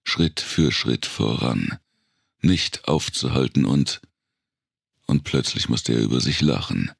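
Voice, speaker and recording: A man reading a dark thriller audiobook as a dramatic narrator, brooding and deliberate, with pauses for effect and a gravelly, husky voice. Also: extremely low pitch, expressive, no room sound, studio quality.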